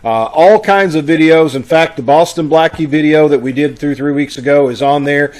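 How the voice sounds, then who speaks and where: A man's voice: fairly high pitch, normal speed, darkish tone, rough recording. A man casually hosting a live online broadcast on a video call, making announcements in a folksy, conversational way. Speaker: host of a live online radio-troupe broadcast.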